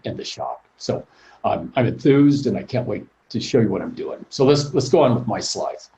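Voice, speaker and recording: Muffled older man lecturing calmly. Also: lowish voice. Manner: measured and plainspoken, practical. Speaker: prosthodontist presenter. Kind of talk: informal professional presentation. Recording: muffled lo-fi call audio.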